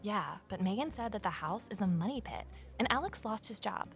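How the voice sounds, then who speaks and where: An actress playing a wary amateur sleuth and former journalist in a naturalistic audio drama, speaking in a cautious, matter-of-fact way. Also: female voice, medium pitch, expressive, fairly quick, gravelly, fairly bright tone, faint music behind. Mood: curious, conspiratorial.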